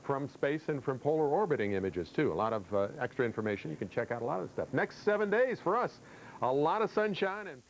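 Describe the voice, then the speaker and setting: A man's voice: mid-range voice, animated, brisk, slight background noise, poor audio. A man with an American accent giving an ad-libbed, conversational TV weather report, explaining satellite maps with emphasis. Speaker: TV weather forecaster.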